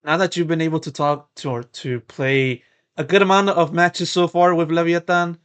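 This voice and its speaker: A man's voice: on the high side, fairly even intonation, normal speed, a bit bright, clean tone. A young man interviewing a player, asking long, meandering questions full of "you know" and repeated words. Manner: rambling, repeats words, many you-knows. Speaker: esports interviewer.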